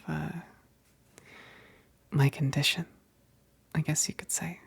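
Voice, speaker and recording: A woman playing a teasing, affectionate, wistful vampire best friend in a soft, breathy whispered ASMR roleplay, speaking hesitantly. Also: low-pitched, full character voice. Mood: playful pleading. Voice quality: breathy, husky whisper with gravelly edges. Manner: slow, hesitant, punctuated with soft chuckles.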